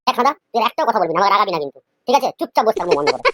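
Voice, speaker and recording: A woman's voice: medium pitch, animated, very fast, a bit bright, slight background noise, lightly acted. A woman voicing a stern, easily exasperated male schoolteacher in a comic cartoon. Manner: scolding, questioning.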